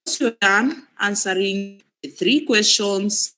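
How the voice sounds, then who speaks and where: A woman whose voice is breaking from a cold leads a live training session over a computer mic. She speaks slowly and patiently, circling back to repeat each instruction. Her pitch sits in an ordinary middle range. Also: pushed and bright, poor audio. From Kenya. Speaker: online exam trainer.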